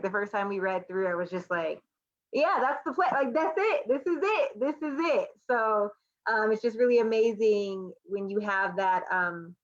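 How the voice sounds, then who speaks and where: A slightly muffled, lo-fi recording of a woman with a mid-pitched voice. She talks casually and enthusiastically as a panelist, reflecting off the cuff in informal language. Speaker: artist and educator, panelist.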